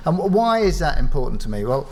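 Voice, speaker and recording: A man from an engineering firm speaking on a panel at a live event, measured and reflective, modest about his own part in the work. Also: fairly high pitch, sing-song. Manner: measured, reflective, self-deprecating. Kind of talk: panel talk. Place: event space with live audience.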